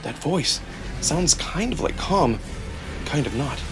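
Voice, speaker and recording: A man reading a visual novel in the first person as a theatrical, expressive narrator. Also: on the high side, slow, creaky. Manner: theatrical, expressive, acts out emotion. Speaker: narrator and performer. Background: music.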